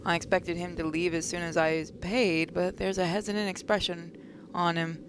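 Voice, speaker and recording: A woman's voice: lowish voice, flat delivery, normal speed, background noise. A woman hosting a gaming video, reading visual novel text aloud expressively with casual commentary.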